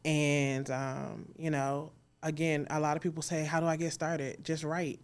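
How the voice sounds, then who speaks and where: A woman's voice: sits low, flat delivery, unhurried, smooth. A woman speaking casually as an interview guest, in an informal, encouraging way. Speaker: interview guest, audio drama creator.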